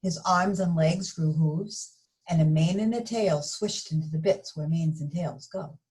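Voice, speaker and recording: A woman reading a picture book aloud to young children, expressive and addressing them directly. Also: low-pitched, a little slow, low-quality recording. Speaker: story reader for young children.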